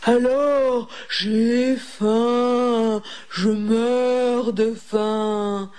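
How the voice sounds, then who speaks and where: A woman voicing a hungry, menacing male ogre wolf for a children's storybook, gruff, threatening and impatient. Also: high voice, slow. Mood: ravenous.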